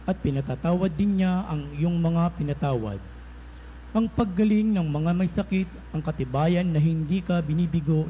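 Guy leading a prayer in church, slow and solemn, warm mellow voice, faint hum behind. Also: on the high side, rough recording. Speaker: prayer leader. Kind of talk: recited devotional prayer.

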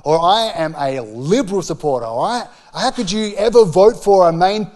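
A man in his forties with an Australian accent, preaching a conversational sermon into a handheld microphone with emphatic, deliberate phrasing. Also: high voice, animated, a little mellow. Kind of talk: sermon, conversational public teaching. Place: church hall with stage.

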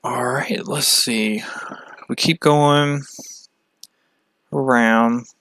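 A man from the United States giving a casual, halting, matter-of-fact walkthrough as a fishing channel host. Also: mid-range voice, fairly even intonation, fairly bright tone, no echo. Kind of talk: casual screen-share map walkthrough.

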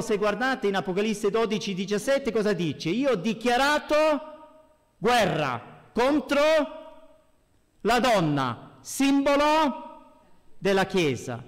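A middle-aged man preaches in a reverberant church hall. His voice sits fairly high for a man, and he takes his time, delivering each phrase with emphasis as a pastor at the pulpit. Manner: emphatic, swinging between hushed and raised voice. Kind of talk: sermon with scripture readings. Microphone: gooseneck lectern microphone.

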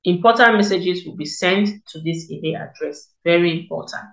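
Young woman from cameroon, lowish voice, explaining a form step by step, echoey room on a laptop mic. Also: normal speed, gravelly. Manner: explanatory, repeats key points, many fillers. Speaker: education consultant, tutorial host. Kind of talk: screen-recorded tutorial walkthrough.

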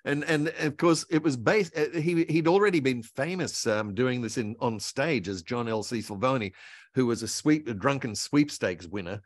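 An older man reminiscing casually as a guest, in a halting, meandering, enthusiastic way. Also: medium pitch, no room sound, clean studio sound. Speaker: guest voice actor and author. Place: indoor room at home, on a video call. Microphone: video call.

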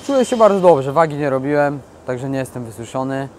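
A man's voice: mid-range voice, animated, a little fast, darkish tone. A young man, a soldier and boxer, answering interview questions in an earnest, proud way. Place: outdoors by a glass building. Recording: faint outdoor noise.

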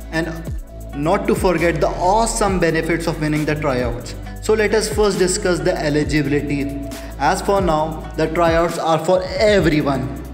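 Guy in his 20s walking viewers thru it, slightly high voice, music going underneath. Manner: instructive, addresses viewers as guys. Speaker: MMA channel host. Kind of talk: explainer voice-over.

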